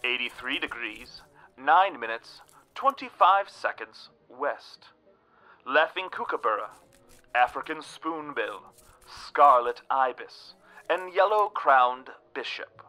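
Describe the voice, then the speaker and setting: An actor playing a mysterious, pompous game master with deliberate, formal, theatrical delivery in an audio drama. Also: male voice, medium pitch, lively intonation, bright, forceful tone. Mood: ominous, formal. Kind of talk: comedic audio drama acting.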